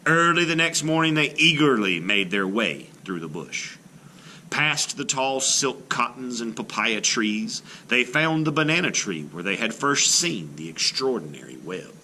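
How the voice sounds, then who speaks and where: A man reading a children's story aloud in an expressive, theatrical style, like a teacher to a class. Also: mid-range voice, a little slow, a little hiss or hum. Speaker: art teacher reading a picture book aloud.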